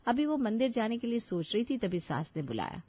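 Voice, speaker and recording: A woman's voice: on the high side, very animated, brisk, breathy edge, no echo, dull audio. A woman narrating a scripted children's story as a storyteller.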